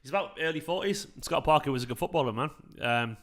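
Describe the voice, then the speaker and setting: A man's voice: mid-range voice, expressive, fast talker. A young man with a South Manchester accent, chatting casually to viewers during a live football watchalong. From South Manchester, England. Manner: chatty, rambling, talks to viewers. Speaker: fan channel host.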